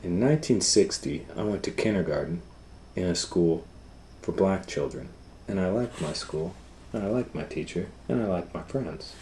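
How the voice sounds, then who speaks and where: Very deep-voiced man reading to children. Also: animated, a little mellow, slight background noise. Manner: simple, deliberate phrasing, pointing out pictures. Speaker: storytime host and educator reading aloud. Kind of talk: children's read-aloud with explanatory asides.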